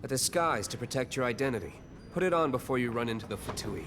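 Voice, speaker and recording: A man's voice: mid-range voice, lively intonation, fast, background noise, natural voice. An actor playing an aloof, guarded young nobleman in a game, cool and curt in manner. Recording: game music behind the voice.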